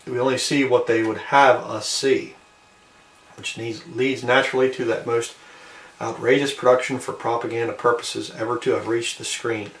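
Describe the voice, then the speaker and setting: A man's voice: mid-range voice, little pitch movement, moderate pace, a bit bright, distant mic. Man reading aloud in an echoey room. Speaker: video host reading and commenting on an old newspaper. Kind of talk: informal commentary mixed with reading aloud. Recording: roomy, set back from the mic.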